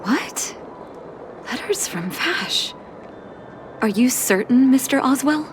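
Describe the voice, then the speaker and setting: A woman playing a gentle, dutiful young doctor in a video game, with a soft, earnest, sometimes breathy delivery. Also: sits high, expressive, takes it slowly, noisy background. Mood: questioning, concerned. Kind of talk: video game story voice acting. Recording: music behind the voice.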